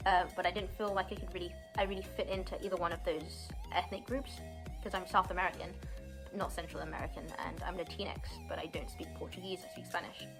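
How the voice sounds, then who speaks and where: Soft-spoken young woman, music under. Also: lowish voice, steady tone, fast talker. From London, England. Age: 20s. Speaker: university student. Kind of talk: personal story, read to camera.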